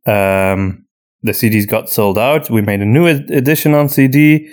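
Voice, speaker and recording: A man's voice: medium pitch, normal speed, darkish tone. Flemish man chatting as a podcast co-host. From Flanders, Belgium. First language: Flemish Dutch. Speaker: podcast co-host and audio drama writer. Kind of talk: casual conversational Q&A.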